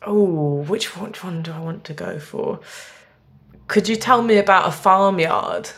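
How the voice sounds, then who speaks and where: A woman's voice: lowish voice, measured pace, a bit bright. A young woman comedian chatting casually as a guest, jokey and self-deprecating. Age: young adult. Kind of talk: casual playful chat.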